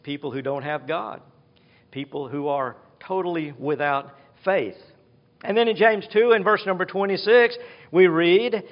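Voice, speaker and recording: Slow, deliberate male preacher in a hall. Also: high voice. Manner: slow, deliberate teaching with emphatic repetition. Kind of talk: sermon. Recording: dull hall reverb.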